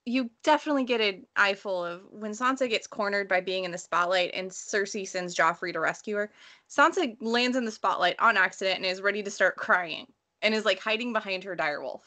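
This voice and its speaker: A woman talking casually and bluntly in an informal book discussion, telling stories in an animated way. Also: mid-range voice, brisk. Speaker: book read-along panelist. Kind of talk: casual discussion, personal anecdotes.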